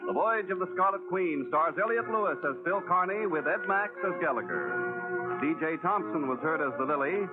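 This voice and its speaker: American male 1940s radio announcer over loud music. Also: fairly high pitch, big pitch swings, moderate pace, phone-quality sound. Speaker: radio announcer reading cast credits. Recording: band-limited old radio, loud music behind.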